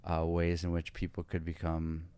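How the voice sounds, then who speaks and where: A man's voice: low-pitched, completely flat delivery, normal speed, warm tone, clean tone, dry recording. A man hosting a podcast, talking in a casual, hesitant way with many fillers. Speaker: podcast host.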